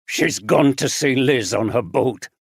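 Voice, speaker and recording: A man's voice: medium pitch, measured pace, creaky, breathy edge, no echo, clean studio sound. A man playing a distraught man who is shaken and tearful.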